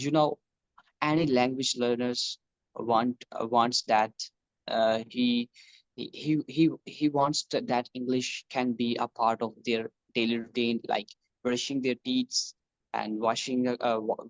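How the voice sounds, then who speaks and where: A man's voice: medium pitch, steady tone, unhurried, dry recording, poor audio. Hesitant young Pakistani man. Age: young adult. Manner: hesitant, many restarts and fillers. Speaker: computer science student. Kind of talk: casual practice conversation in English.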